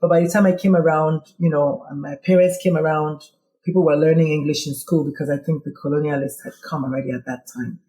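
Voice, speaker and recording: Nigerian woman with a mellow voice. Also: sits low, quick pace, roomy sound, low-quality recording. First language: Igbo. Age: middle-aged. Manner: animated, quoting herself in dialogue. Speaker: guest. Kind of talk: casual interview storytelling.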